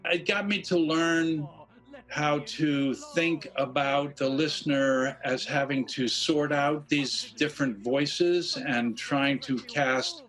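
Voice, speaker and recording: A man's voice: on the high side, steady tone, slow pace, a bit bright, low-quality recording. A man explaining his craft reflectively as an interviewee. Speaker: interviewee, radio producer.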